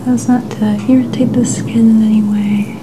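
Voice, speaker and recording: A woman speaking in a soft, mostly whispered voice, gently and soothingly narrating each step of an ASMR personal-care role-play. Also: a little high, little pitch movement, moderate pace, background noise. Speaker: ASMR creator.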